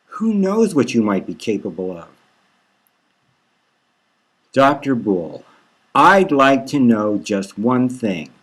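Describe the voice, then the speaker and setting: A man narrates dark, satirical fiction in the first person at a very slow pace. His mid-pitched voice moves in a halting, brooding way, with dramatic weight given to short phrases. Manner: brooding, halting delivery with rhetorical questions. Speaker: author reading his own novel.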